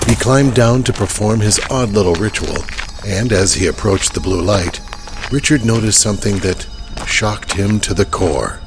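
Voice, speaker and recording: A man's voice: on the low side, moderate pace, over music, rough recording. A man narrating a horror audio drama in a dramatic, scripted storytelling style.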